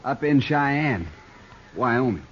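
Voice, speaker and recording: An actor playing a dim, trigger-happy outlaw sidekick in an old radio western, excitable and petulant, with a stammering rustic way of talking. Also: male voice, medium pitch, takes it slowly. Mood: eager. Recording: muffled, hissy old radio recording.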